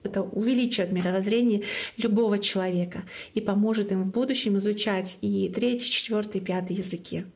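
A woman's voice: medium pitch, fairly even intonation, brisk, darkish tone, faint music behind. A woman from Northern Russia whose first language is Komi, a guest in an interview telling her personal story in an earnest way and repeating key phrases for emphasis. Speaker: guest, club founder.

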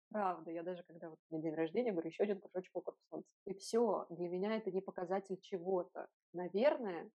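A woman's voice: on the low side, warm tone, slightly rough. A woman co-hosting a podcast in casual discussion, arguing her opinions in a reasoned way with rhetorical questions. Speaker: podcast co-host.

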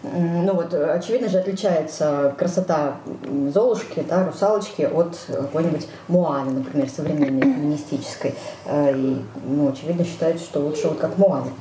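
Slightly low female voice, questioning, wry, casual podcast chat, echoey room, faint noise. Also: a little fast. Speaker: podcast co-host. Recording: roomy echo, faint background noise.

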